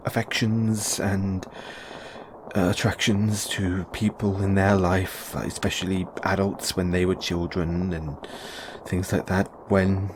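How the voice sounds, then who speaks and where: Man acting a middle-aged dad, lowish voice, nervous, hesitant, stammering, kindly, audio roleplay, faint noise behind. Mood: nervous, concerned.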